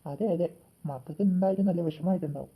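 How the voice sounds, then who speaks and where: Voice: mid-range voice, fast, slightly rough, phone-quality sound. A performer voicing an easygoing, placating and mildly flustered father in a comedy cartoon. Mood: resigned.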